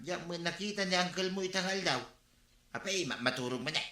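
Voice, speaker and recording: A man's voice: high voice, a little fast, pushed and bright, roomy sound. A man playing a grumbling lazy husband. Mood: chatty. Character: lazy, drink-loving husband. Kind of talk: Ilocano radio comedy drama acting.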